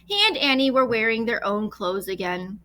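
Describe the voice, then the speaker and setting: A woman reading a children's book aloud as an expressive storyteller, switching between plain narration and animated dialogue. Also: a little high, moderate pace, dry recording.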